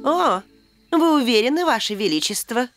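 A sceptical, prim fairy nanny from a children's cartoon played by a woman. Her voice is high and lively in pitch, with a no-nonsense edge, over music. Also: normal speed, close to an everyday voice. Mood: dutiful. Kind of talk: Russian-dubbed children's cartoon acting.

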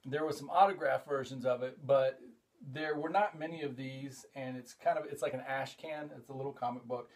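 A man's voice: mid-range voice, normal speed. A middle-aged man from the United States hosting a casual vlog, rambling enthusiastically with lots of "uh" fillers as he shows items from his collection, recorded by a camera a few feet away in a room full of collectibles. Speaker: comic collector and show host. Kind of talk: casual show-and-tell vlog.

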